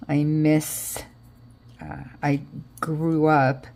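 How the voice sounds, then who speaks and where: A woman's voice: low voice, flat delivery, drawn-out words, creaky, a little mellow. A woman with an American accent chatting casually as she narrates a craft project, rambling and thinking aloud, often trailing off. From Israel, raised in Connecticut, US. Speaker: craft vlogger.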